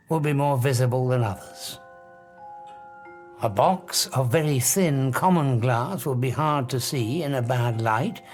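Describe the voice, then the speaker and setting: An actor playing an obsessive, grandiose scientist in an audiobook drama, arrogant and emphatic, lecturing with dramatic pauses. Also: male voice, a little high.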